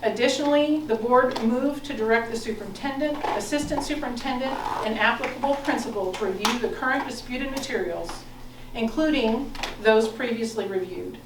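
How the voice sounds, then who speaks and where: An American woman reads a formal prepared statement as a board president. Her voice is fairly high and comes from off the mic in an echoey meeting room, with noticeable noise in the recording. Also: moderate pace. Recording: roomy, noisy, distant meeting-room recording.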